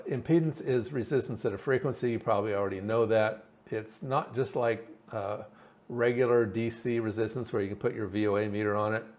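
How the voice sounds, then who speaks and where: A man giving an informal technical explanation as a hobbyist presenter, thinking aloud in a halting way. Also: mid-range voice, darkish tone. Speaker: hobbyist presenter explaining his radio build. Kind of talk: informal technical explanation over slides and diagrams.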